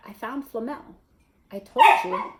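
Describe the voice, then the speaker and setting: A woman's voice: on the high side, measured pace, heavy background noise, close to an everyday voice. A woman reading a storybook aloud as an earnest, loyal, eager young schoolboy wizard, speaking emphatically. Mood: excited discovery.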